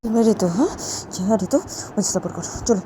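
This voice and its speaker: A woman's voice: medium pitch, expressive, normal speed, noisy background, pitched above the performer's own voice, lightly acted. A woman voicing a domineering young woman who speaks in mocking, demanding questions. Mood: insistent.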